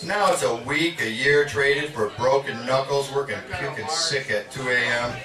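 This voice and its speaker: A man reading poetry aloud at a live reading in a measured, dramatic spoken-word delivery. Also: mid-range voice, music in the background. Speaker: poet. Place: indoor literary cafe reading. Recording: echoey, distant live recording with audience. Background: audience sounds.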